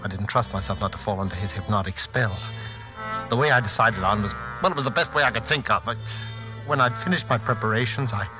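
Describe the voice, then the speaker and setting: Man acting a low-voiced desperate narrator over music. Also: quick pace, close to an everyday voice, rough recording. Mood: grim, desperate. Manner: grave, confessional, haunted. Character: anxious, desperate husband narrating his plight. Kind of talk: 1940s radio thriller first-person narration.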